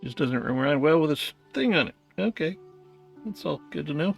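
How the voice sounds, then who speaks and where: A man's voice: a little high, lively intonation, normal speed, creaky, faint music behind. A man chatting casually and thinking aloud as he tinkers, muttering to himself and to the thing he is repairing. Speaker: hobbyist repairer of vintage tape recorders.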